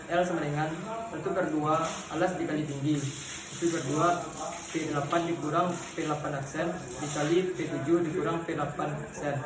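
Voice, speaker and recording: A young man reading out a surveying report, reciting measurements and calculations in a measured way with small restarts. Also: a little high. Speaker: student presenting a report. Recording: echoey room, distant mic, noisy background.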